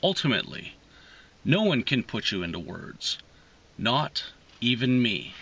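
A man in his thirties reading poetry aloud with deliberate pauses between short phrases, as host of a reading series, recorded inside a parked car. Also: medium pitch, sing-song, a bit bright, slightly rough. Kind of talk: slow, emphatic poetry reading.

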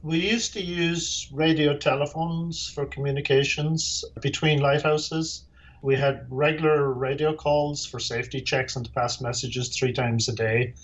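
A man's voice: on the high side, steady tone, measured pace, poor audio. A middle-aged man with an Irish accent, a former lighthouse keeper answering interview questions in a plain, matter-of-fact way with dry humor. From Ireland.

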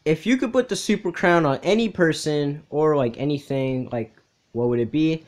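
A man's voice: on the high side, normal speed, darkish tone. Young man giving casual reaction commentary. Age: young adult. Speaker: host of a reaction video.

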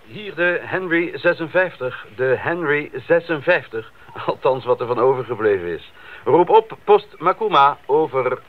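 An actor playing a young, dutiful missionary bush pilot in a radio drama, heard over an aircraft radio. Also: male voice, a little high, expressive, measured pace. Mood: matter-of-fact. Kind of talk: 1980s Dutch radio drama acting. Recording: muffled crackly radio link.

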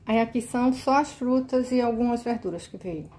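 A woman showing her grocery haul at home, listing each item one by one in a relaxed, casual way. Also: on the high side, slight background noise. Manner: slow, listing items one by one. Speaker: vlogger. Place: kitchen at home, indoors.

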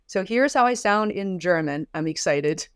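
A woman's voice: mid-range voice, animated, moderate pace, no room sound. Upbeat woman, dry close sound. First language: Mandarin Chinese. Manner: upbeat, chatty walkthrough. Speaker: host. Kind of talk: software tutorial. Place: home studio room.

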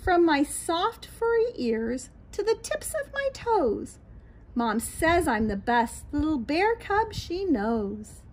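A middle-aged American woman reading a picture book aloud to young children in an expressive, sing-song way, outdoors on a patio. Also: sits high, slow, poor audio. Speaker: children's read-aloud host, teacher. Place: small backyard patio, outdoors. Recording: light outdoor background noise.

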